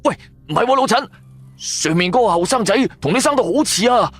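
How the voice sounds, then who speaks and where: A cheeky, curious sidekick, played by a male narrator in a radio drama, with a Cantonese accent. The voice jumps up high and swings about, excitable and teasing, over soft music. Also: brisk. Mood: teasing surprise.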